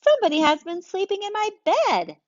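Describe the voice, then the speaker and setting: A woman's voice: very high voice, huge pitch swings, brisk, dry recording, voice pushed up, exaggerated voice. A woman voicing an upset, doting mother with emphatic exclamations. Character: doting, upset mother fussing over her pet. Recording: dry, dull lo-fi sound.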